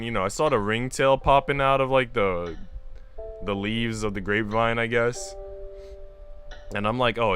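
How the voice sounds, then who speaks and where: A man's voice: mid-range voice, a bit bright. A man streaming a visual novel, moving between reading the story aloud and casual, slangy, chatty asides. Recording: music behind the voice. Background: music.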